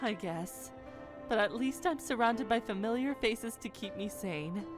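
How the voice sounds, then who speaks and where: A woman playing a gentle, regal young princess in an audio drama, soft-spoken, polite and a little hesitant. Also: on the high side, lively intonation, a little slow, over music. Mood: uneasy, warm.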